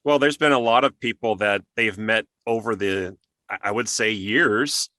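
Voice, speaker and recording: A man's voice: fairly low pitch, moderate pace, bright, forceful tone, no echo, studio quality. A man hosting a casual interview, chatting as a fellow voice actor with his guest.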